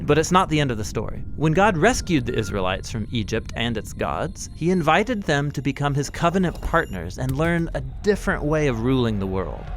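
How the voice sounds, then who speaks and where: A man's voice: mid-range voice, very animated, moderate pace, few pauses, a little hiss or hum. A man giving a conversational, scripted explanation in an emphatic, teacherly way, affirming his partner as he goes. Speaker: explainer, co-host teaching Bible themes.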